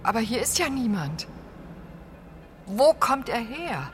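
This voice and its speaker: Gullible, wary lady full of questions, highish voice, radio play with music. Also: sing-song, slow. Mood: wondering, doubtful. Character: gullible, wary, wondering lone woman. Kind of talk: German radio play acting.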